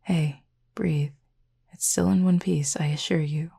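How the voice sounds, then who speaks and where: A woman playing a gentle, formal cupid roommate in an intimate roleplay audio, speaking softly and breathily with measured, teasing politeness. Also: low voice, fairly even intonation, heavily acted. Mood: gently reassuring. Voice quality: soft, breathy, slightly husky. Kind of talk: intimate roleplay audio acting, addressed to listener.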